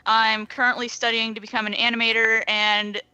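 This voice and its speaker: A woman's voice: fairly high pitch, flat delivery, fairly quick, bright, forceful tone, clear, resonant tone, dry recording, dull audio. A young adult woman chatting casually as a guest on a podcast. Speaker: podcast guest, YouTuber and artist. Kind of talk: casual chat.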